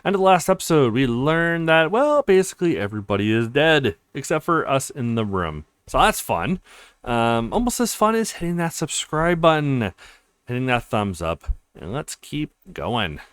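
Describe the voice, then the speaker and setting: A man's voice: mid-range voice, sing-song, moderate pace, fairly bright tone, smooth, no room sound, clean studio sound. A man hosting a let's play, reading visual novel dialogue aloud theatrically and slipping into casual asides.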